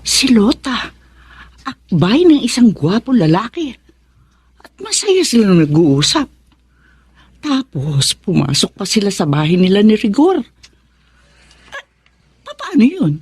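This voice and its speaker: Husky, very animated gossiping wife. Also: female voice, medium pitch, slow, long pauses, no room sound, heavily acted. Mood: scandalized gossiping. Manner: exclamatory, muttering to herself. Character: nosy gossiping neighborhood wife and mother, scatterbrained, judgmental. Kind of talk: Tagalog radio drama acting.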